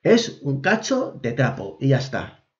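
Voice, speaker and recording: A hoarse man with a Spanish accent from Spain rambles through blunt, informal reaction commentary. The recording sounds dull and lo-fi. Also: mid-range voice, huge pitch swings, measured pace. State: hoarse from a cold.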